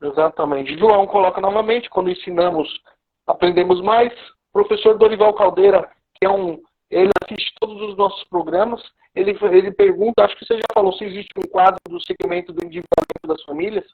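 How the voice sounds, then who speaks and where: A man hosting a live online interview, asking questions in a relaxed, conversational way. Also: sits high, fairly quick. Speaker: host. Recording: phone-like lo-fi call audio.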